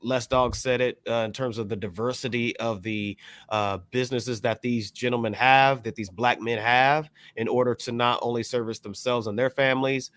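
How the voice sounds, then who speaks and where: A man's voice: mid-range voice, steady tone, moderate pace, a bit bright, dry recording. A man chatting as a panelist on a podcast, wordy and affirming, often repeating short phrases. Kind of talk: casual panel chat.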